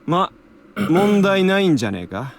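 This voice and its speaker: A man playing a brash, offhand, rough-talking character in a Japanese video game. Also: sits high, sing-song, quick pace, a little hiss or hum. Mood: dismissive.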